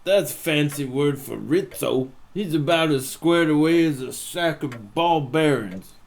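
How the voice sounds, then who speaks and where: A man's voice: a little high, lively intonation, unhurried, gravelly. A man playing a 1920s gangster boss, genial but menacing, talking in slangy wiseguy patter in a noir radio drama. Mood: breezy, hospitable.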